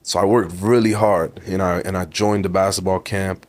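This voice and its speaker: Low-voiced young man with a Toronto accent. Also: fairly even intonation, moderate pace, a little mellow. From Ontario, Canada. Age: 20s. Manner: emphatic, motivational, repeats words, calls the host bro. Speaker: podcast guest. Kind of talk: casual interview talk.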